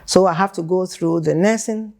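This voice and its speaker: A woman's voice: fairly low pitch, moderate pace, vocal fry, dark tone. A woman in her seventies with a Ghanaian accent touched with British, speaking as an interview guest. She tells her life story conversationally, hesitating and repeating phrases for emphasis. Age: 70s.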